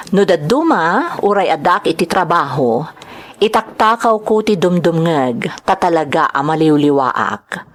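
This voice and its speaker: A woman with a Filipino accent, a program host and storyteller, reading aloud to her listeners. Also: medium pitch. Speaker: host and storyteller of a drama channel. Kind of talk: reading greetings and a listener's letter.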